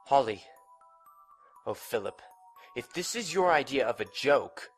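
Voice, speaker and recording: An actor playing a bitter, agitated young heir in a gothic soap audio drama, with a melodramatic, accusing delivery. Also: male voice, medium pitch, expressive, a little slow, soft background music. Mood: suspicious.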